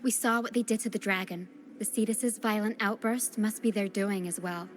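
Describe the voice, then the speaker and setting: An actress voicing a serious, thoughtful young adventurer heroine in a video game, speaking in a measured, earnest way. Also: female voice, mid-range voice, fairly even intonation, background noise, lightly acted. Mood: resolute, earnest.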